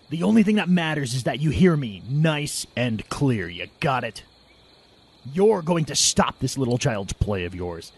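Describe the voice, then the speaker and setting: An actor playing a menacing thug in an audio drama, speaking in a deliberate, intimidating way. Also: male voice, mid-range voice, husky. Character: menacing male thug delivering cold threats. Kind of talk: audio drama villain acting.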